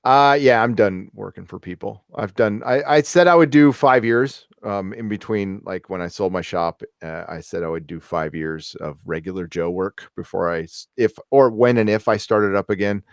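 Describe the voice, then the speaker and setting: A man's voice: on the low side, moderate pace, hardly any pauses, clear, resonant tone, no echo, clean studio sound. A man in his forties hosting a podcast, chatting casually. He laughs often and swears freely. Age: 40s. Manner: laughs often, loose and profane. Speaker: podcast host. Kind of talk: casual chat.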